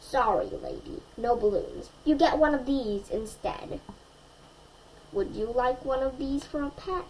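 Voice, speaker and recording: Woman as a storybook barber, man, offhand, matter-of-fact, unhurried, slight background noise. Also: sits high, very animated, a little mellow, far from the mic, exaggerated voice, poor audio. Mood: breezy. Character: offhand male barber handing out dinosaurs.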